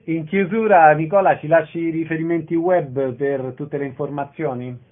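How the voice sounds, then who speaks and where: A man's voice: on the high side, fairly even intonation, moderate pace, phone-quality sound. A man working as a radio interviewer, announcing details in an orderly, formal way and putting questions to guests. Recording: thin telephone-band sound.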